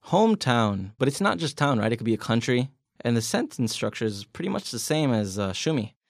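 A man's voice: medium pitch, sing-song, brisk, vocal fry, dry recording. A man co-hosts a podcast language lesson. He explains each point plainly, nudges his co-host with questions and drops small jokes. His voice sits close and dry on the microphone. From United States. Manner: explanatory, asks leading questions, light jokes.

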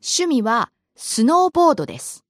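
A woman from Japan, teaching on a bilingual podcast language lesson and reading example phrases in a lively way. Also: high voice, fast talker, no echo, studio quality. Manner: lively, gives example phrases and chuckles along.

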